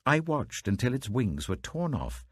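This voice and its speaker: A man voicing a faithful, sincere prophet in an earnest, measured and formal manner in a scripture story. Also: mid-range voice, sing-song, husky, no room sound, studio quality, pitched above the performer's own voice. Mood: awed. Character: faithful male prophet, calm, sincere, reverent.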